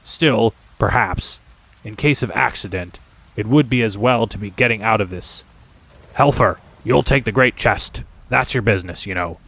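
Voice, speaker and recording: Male narrator as older goblin dad, rough deep voice, bossy, unhurried, storybook character. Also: fairly bright tone. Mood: gruff, unhurried.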